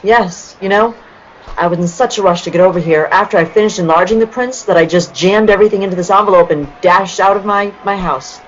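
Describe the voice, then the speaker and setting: A woman's voice: mid-range voice, brisk, close to an everyday voice. A woman playing a trusting, easily startled young sweetheart heroine in an old-time radio play. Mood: uneasy. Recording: muffled, lo-fi audio with noise.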